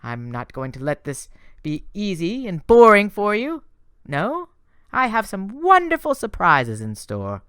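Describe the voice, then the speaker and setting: A man voicing a vain, gushing goddess of love, high and theatrical with a dry, close sound. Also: huge pitch swings, unhurried, a bit bright, smooth, dry recording, full character voice. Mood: swooning.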